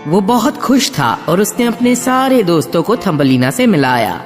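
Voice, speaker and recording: A man tells a scripted children's story as narrator. His intonation is very animated, with long reverb on the voice and clear music underneath. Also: high-pitched, moderate pace.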